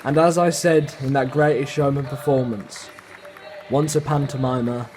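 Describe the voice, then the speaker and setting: A man's voice: medium pitch, steady tone, normal speed, darkish tone, a faint crowd, a little hiss or hum. A man who makes amateur documentaries, speaking as a narrator and casual interviewer. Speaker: documentary maker and amateur pantomime performer.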